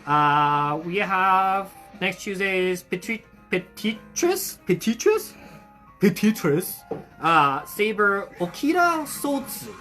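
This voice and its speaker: A young adult hosting a casual livestream for a hobby shop, with a bright, ringing tone. The talk is upbeat and friendly, full of fillers and quick restarts while greeting viewers. Soft music plays faintly in the background. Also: mid-range voice, animated. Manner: friendly and effusive toward viewers. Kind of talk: casual livestream chat, greeting viewers and reading their comments.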